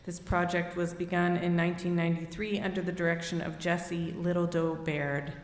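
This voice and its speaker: A woman reading offertory announcements at a worship service in a steady, read-aloud way. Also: fairly low pitch, normal speed. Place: church sanctuary. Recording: long hall reverb.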